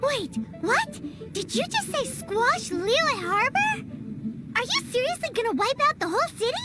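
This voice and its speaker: A woman voicing a tiny, excitable, childlike fairy companion in a video game, squeaky and exclamatory. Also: moderate pace. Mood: shocked. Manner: exclamatory, naive, speaks of self in third person. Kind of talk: animated video game character acting. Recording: studio voice over loud game music.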